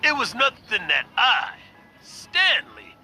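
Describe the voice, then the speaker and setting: Braggy pompous adventurer for a video game, super up and down, drawn out. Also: male voice, extremely high pitch, huge pitch swings, takes it slowly, sharp tone, exaggerated voice. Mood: pompous, bragging. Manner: pompous, swaggering. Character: boastful male adventurer posing as a legend. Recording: music under the voice.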